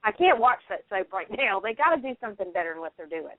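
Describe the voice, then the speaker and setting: A woman's voice: medium pitch, very animated, quick pace, no room sound. Emphatic woman on a tinny phone line. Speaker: radio show panelist. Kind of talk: casual chat about TV soaps.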